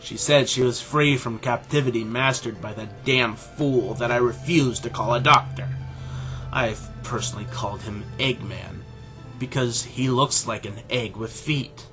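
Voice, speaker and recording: A man playing an arrogant, condescending middle-aged animal scientist and father in a scripted audio drama, speaking in a pompous, deliberate way. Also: mid-range voice, bright, forceful tone, faint music behind. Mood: bitter.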